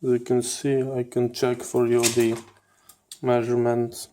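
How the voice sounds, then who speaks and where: A man giving hands-on teardown commentary as a channel host, in halting phrases with repeated words. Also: medium pitch, monotone, mellow, slight background noise. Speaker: host of a radio electronics channel.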